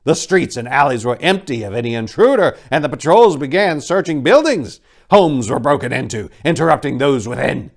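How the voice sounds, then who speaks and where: A man's voice: medium pitch, sing-song, normal speed, vocal fry, hardly any pauses. A man narrating in a theatrical, emphatic way, like a dramatic reading. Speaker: narrator and host.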